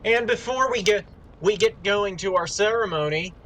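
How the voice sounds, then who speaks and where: A man's voice: sits high, moderate pace, a bit bright, a little hiss or hum. A man voicing an irritable, theatrical male cartoon show host in a fan story. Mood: exasperated.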